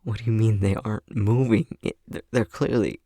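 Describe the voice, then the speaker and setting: A man acting an easily flustered, anxious young boyfriend in an ASMR roleplay, stammering nervously in a breathy, husky voice. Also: on the low side, steady tone, moderate pace, warm tone, dry recording. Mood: anxious, hesitant.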